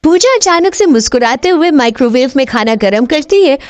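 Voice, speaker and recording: A high-voiced woman narrates a horror cartoon. Her scripted delivery is very animated in pitch, and the recording is dry and close. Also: a little fast, dry recording.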